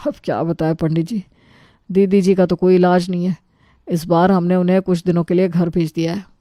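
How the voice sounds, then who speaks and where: A woman's voice: on the low side, level tone, a little fast, dark tone, dry recording, studio quality, lightly acted. A woman voicing an anxious middle-aged father in a storybook tale, earnest and fretful. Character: anxious middle-aged family man, timid before his sister.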